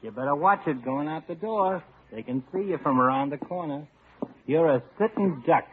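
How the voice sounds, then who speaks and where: A man's voice: on the high side, lively intonation, moderate pace, close to an everyday voice. An American man playing a folksy, teasing small-town barber in a vintage radio comedy. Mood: easygoing. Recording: vintage radio, narrow-band, noisy.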